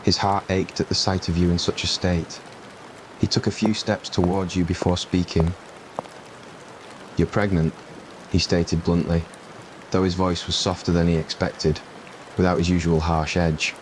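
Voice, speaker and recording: Very low, even-toned male story narrator. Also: normal speed, rough recording. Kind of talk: scripted story narration, ASMR roleplay. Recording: clear rain noise behind voice. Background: rain ambience.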